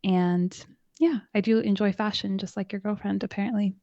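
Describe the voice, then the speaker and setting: A soft-spoken woman talking calmly and evenly as a guest in a casual interview. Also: mid-range voice, no echo, muffled recording. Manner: calm, measured, soothing. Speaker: podcast host and guest.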